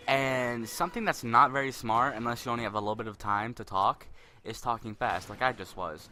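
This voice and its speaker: A young man with a deep voice giving rambling, spontaneous commentary over gameplay, a little self-conscious. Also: brisk, sharp tone.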